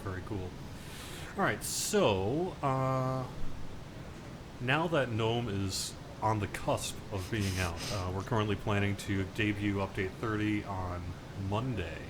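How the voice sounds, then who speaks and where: Relaxed, chatty male interviewer. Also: fairly low pitch, takes it slowly, noisy background. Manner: relaxed, chatty, filler-heavy questions. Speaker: interviewer, community host. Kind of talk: casual interview.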